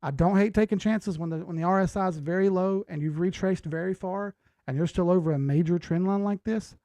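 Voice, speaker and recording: A man's voice: sits high, a little fast, mellow, dry recording. A man hosting a live stream, giving casual commentary and thinking aloud in slangy, informal language. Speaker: host of a live market analysis stream.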